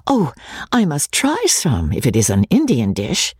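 A modest, sly young woman speaks with sweet politeness, played by a woman. The tone is breathy and close, with a lively lift. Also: very deep voice, unhurried, no echo, heavily acted. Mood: demure.

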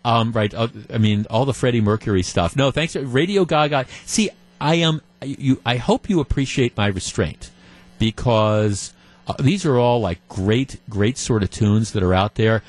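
Plauderhafter Radiomoderator mit Stottern. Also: male voice, mid-range voice, expressive, normal speed. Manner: stammering restarts, chuckling, chatty. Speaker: radio talk show host. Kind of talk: call-in radio chat. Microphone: studio microphone.

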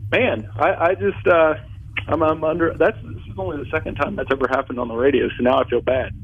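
A man's voice: fairly high pitch, fairly even intonation, quick pace. A man in his forties from Southeast Ohio, a sportswriter guest on a radio show, talking animatedly with frequent restarts over the phone. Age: 40s. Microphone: phone call. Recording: phone line, husky.

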